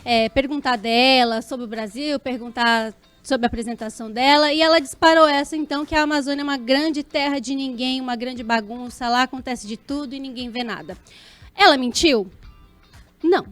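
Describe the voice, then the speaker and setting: A woman co-hosts a talk show in a high voice. She argues earnestly but haltingly, often stopping to correct herself mid-sentence. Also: a bit bright, soft background music. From São Paulo, Brazil.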